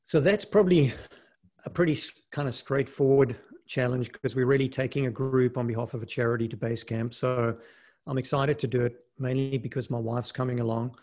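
A man's voice: medium pitch, fairly quick. A middle-aged man who grew up in South Africa talks casually as an interview guest, often restarting his sentences. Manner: relaxed, with frequent restarts and "you know". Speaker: guest, investment fund manager and mountaineer.

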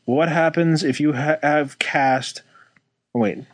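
A man's voice: a little high, fairly even intonation, takes it slowly, dry recording. A man co-hosting a casual chat, telling stories and laughing often. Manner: chatty storyteller, laughs often. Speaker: podcast co-host.

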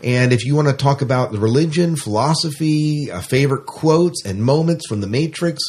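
A man with a mid-pitched voice co-hosts a podcast in a casual, conversational way. He rambles from thought to thought, often doubling back to repeat a word or phrase. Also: lively intonation, moderate pace, darkish tone. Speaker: podcast co-host.

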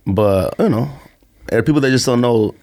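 A man's voice: mid-range voice, moderate pace, a little mellow. A man hosting a podcast in casual banter, with a teasing, opinionated manner. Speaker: podcast host.

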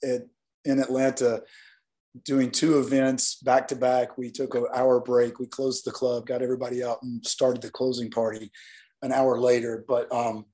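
Guy w a worn out hoarse voice, rambles on earnestly about his stuff. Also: mid-range voice, little pitch movement, normal speed, mellow. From Northeast Alabama, US South. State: hoarse, partly lost voice. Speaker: guest, nightlife event promoter. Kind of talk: casual interview talk.

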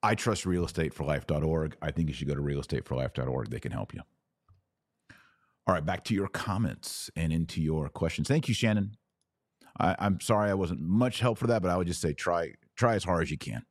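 Deep-voiced American man hosting a podcast. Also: lively intonation, brisk, dry recording, clean studio sound. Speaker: podcast host. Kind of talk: conversational commentary answering listener questions.

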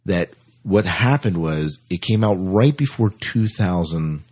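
A man's voice: low-pitched, lively intonation, a little slow, a little mellow, husky. An American man hosting a casual entertainment news podcast in an informal, conversational way. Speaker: podcast host.

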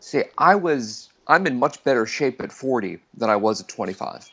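A man's voice: mid-range voice, lively intonation, moderate pace, a bit bright, slightly rough, highs cut off. Rambling self-deprecating man in his forties. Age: 40s. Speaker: returning podcast guest. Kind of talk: casual chat.

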